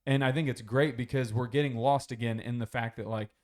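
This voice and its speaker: A man's voice: medium pitch, steady tone, fairly quick, darkish tone. A man co-hosting a casual podcast chat, telling a long story in a rambling way, swearing freely. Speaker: podcast co-host.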